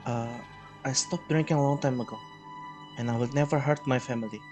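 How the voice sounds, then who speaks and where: A man's voice: medium pitch, steady tone, a little fast, darkish tone, faint music behind, lightly acted. A man playing an agreeable, confident husband and father in a readers theater drama, plain and polite. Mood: assured.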